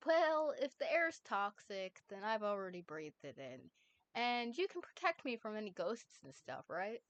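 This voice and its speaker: A woman's voice: mid-range voice, big pitch swings, a little slow, a bit bright, highs cut off. A woman voicing an anxious, skeptical teenage boy, rambling and hesitant, in a fan reading. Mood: incredulous.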